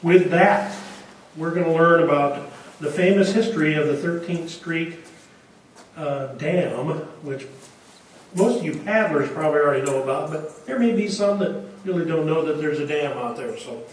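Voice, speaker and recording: A man introducing a speaker and reading event announcements, halting with many pauses. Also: a little high, slight background noise. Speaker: event host. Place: auditorium. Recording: echoey hall, distant mic.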